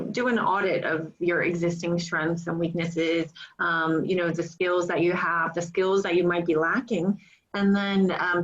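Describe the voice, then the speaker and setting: Moderator, female, lower-pitched, steady and affirming, slightly dull video-call audio. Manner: measured, encouraging, frequent affirmations. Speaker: panel moderator. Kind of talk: online panel discussion.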